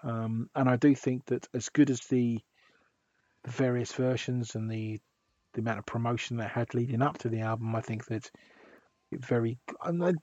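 A man hosting a music podcast, hoarse and husky from a sore throat, rambling with restarts. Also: medium pitch, steady tone, moderate pace, a little mellow, no room sound, dull audio. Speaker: podcast host. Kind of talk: casual podcast chat.

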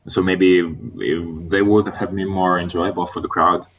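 A man whose first language is Italian, chatting casually as a guest fan on a podcast, pausing often with "uh" fillers. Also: low voice, steady tone, lo-fi sound. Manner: hesitant, many "uh" fillers.

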